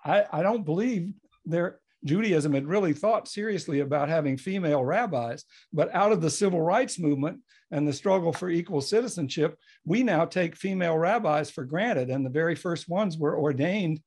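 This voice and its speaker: A man in his seventies giving unscripted panel remarks as a guest historian, speaking in a reflective, halting but emphatic storytelling way on a video call from a home office. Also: on the high side, darkish tone, breathy edge. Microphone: computer mic on video call.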